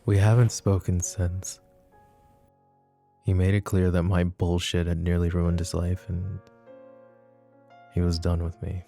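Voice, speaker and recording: A man acting a flirty, possessive mafia boss in an intimate ASMR roleplay, speaking softly and unhurriedly in a teasing, world-weary way. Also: low-pitched, little pitch movement, a little slow, vocal fry, pauses often, faint music behind. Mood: wistful, confessing. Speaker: voice actor.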